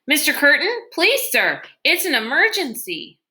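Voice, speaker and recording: A woman's voice: sits high, sing-song, moderate pace, sharp tone, pitched above the performer's own voice, heavily acted. A woman voicing a nervous, bumbling male henchman in a storybook, deferential, hesitant and stumbling over words. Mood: urgent.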